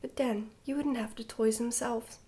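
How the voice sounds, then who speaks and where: A woman reading a children's storybook in a hushed, breathy whisper, gently and carefully, in ASMR style. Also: fairly high pitch, steady tone, normal speed. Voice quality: whispery, breathy, soft-spoken. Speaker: ASMR book reader.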